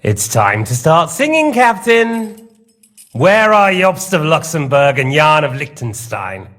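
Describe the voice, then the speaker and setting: Smug, sadistic villain lord with swooping delivery. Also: male voice, a little high, very animated, slow, a bit bright, faint music behind, exaggerated voice. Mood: coolly menacing. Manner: mocking, theatrical, mock-polite menace. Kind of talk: dramatic game acting.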